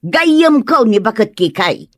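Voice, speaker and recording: A woman's voice: a little high, big pitch swings, slow, no room sound, studio quality, full character voice. A woman with an Ilocano Filipino accent playing a meddlesome, insistent village gossip in an audio comedy-drama. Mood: indignant. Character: meddlesome, grudge-holding village gossip woman, pushy party guest.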